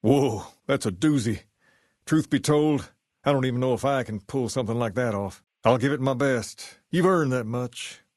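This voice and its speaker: A suave gang boss from a post-apocalyptic video game, played by a male actor. He speaks with a folksy Southern American accent at an ordinary male pitch. His manner is easygoing and sure of himself, like a man used to being king of his turf, and the sound is dry, free of room echo. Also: moderate pace, darkish tone, close to an everyday voice. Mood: relaxed, obliging. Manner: folksy, easygoing, self-assured. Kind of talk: video game character dialogue.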